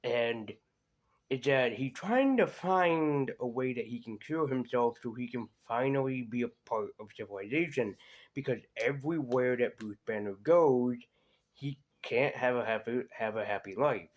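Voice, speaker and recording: A man's voice: medium pitch, highs cut off. Man giving a rambling, casual review. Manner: conversational, hesitant, digressive. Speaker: YouTube cartoon reviewer.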